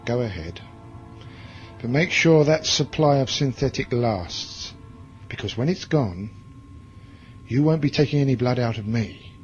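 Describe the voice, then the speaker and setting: Actor as hard, curt space commander, dull recording. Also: male voice, medium pitch, lively intonation, measured pace, pauses often, close to an everyday voice. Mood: weary, irritable. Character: hard, bitter male military commander in space. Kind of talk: science fiction audio drama acting. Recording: dull lo-fi audio drama recording, music behind.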